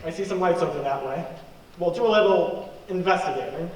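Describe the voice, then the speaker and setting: An actor playing a commanding, sardonic fugitive on the run in a radio play. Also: male voice, a little high, fairly quick, over music, lightly acted. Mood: brisk. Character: wry fugitive man on the run, bullying and sardonic. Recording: echoey hall, far from mic, noisy.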